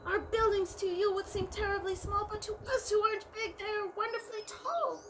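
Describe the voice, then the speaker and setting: A woman voicing a tiny, cheerful male town mayor in a storybook, with a piping, squeaky voice. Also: fairly even intonation, normal speed, a bit bright, a little hiss or hum. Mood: grateful. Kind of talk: storybook reading aloud.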